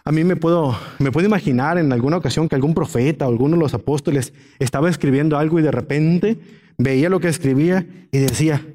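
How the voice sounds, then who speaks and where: A man's voice: fairly high pitch, quick pace, warm tone. A man preaching a Bible-teaching sermon as a pastor, emphatic and illustrating his points with everyday examples. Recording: long hall reverb.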